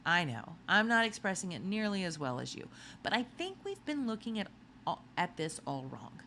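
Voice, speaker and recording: A clever, determined young sleuth voiced by a woman reading a storybook makes each point with insistent emphasis. The delivery is very animated in pitch, over a faintly noisy recording. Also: moderate pace. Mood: thoughtful, earnest. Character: clever, determined young narrator hero, eager amateur sleuth.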